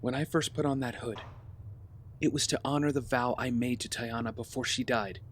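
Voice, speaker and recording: A man's voice: medium pitch, fairly even intonation, moderate pace, close to an everyday voice. A man playing a brooding, disillusioned young vigilante in an audio drama, with a weary, earnest manner. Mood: frustrated doubt. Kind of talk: scripted audio drama acting. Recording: clear background noise with ambience.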